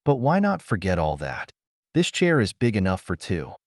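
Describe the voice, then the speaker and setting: Narrator doing a flippant producer guy, airy sarcastic banter, bouncy pitch, pulp story dialogue. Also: wildly swooping pitch, moderate pace, dry recording, clean studio sound, exaggerated voice. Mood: wry. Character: flippant, wisecracking male television producer. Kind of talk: audiobook character dialogue.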